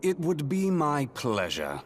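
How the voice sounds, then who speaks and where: A man's voice: on the high side, wildly swooping pitch, slow, a little hiss or hum, full character voice. A man playing a suave, courtly adventurer in a fantasy game, with a courteous and theatrical way of talking. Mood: serious briefing. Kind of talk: scripted fantasy game cutscene acting.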